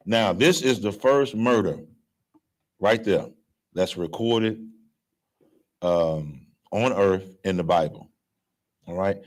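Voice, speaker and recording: A man's voice: deep voice, takes it slowly, long pauses. A man, leading a scripture class in a casual, coarse way and quizzing his listeners with "right?". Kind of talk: street-style scripture lecture.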